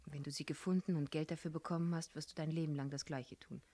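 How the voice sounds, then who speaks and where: Husky, earnest young woman, close-up. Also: sits low, fairly even intonation, fast talker, dark tone, dry recording. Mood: earnest pleading. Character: earnest, determined young woman pleading with her lover. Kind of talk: German radio crime drama acting.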